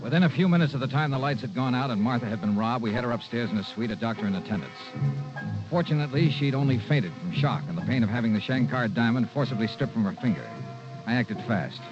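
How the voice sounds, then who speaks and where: A man's voice: mid-range voice, animated, fast, natural voice. Hard-boiled male investigator, played by an actor, mid-range, wry and clipped, narrating vintage radio noir over music. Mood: brisk, businesslike. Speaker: lead detective and narrator. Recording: dull vintage radio recording.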